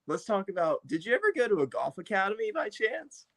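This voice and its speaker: A man's voice: sits high, sing-song, fairly quick, no room sound. A man co-hosting a casual interview, asking upbeat questions and laughing easily. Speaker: podcast co-host.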